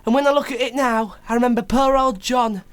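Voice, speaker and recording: A woman's voice: a little high, brisk, close to an everyday voice. A woman playing a gossipy, blunt but sympathetic working-class neighbour in a radio play, chatting colloquially. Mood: rueful.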